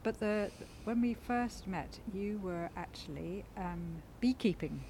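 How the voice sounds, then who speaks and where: A woman hosting a podcast, asking friendly questions with soft laughs, outdoors in a community garden, sharing one small microphone. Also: mid-range voice, expressive, slow pace, a little hiss or hum. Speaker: podcast host. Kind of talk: casual interview. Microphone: sharing one small microphone with the guest.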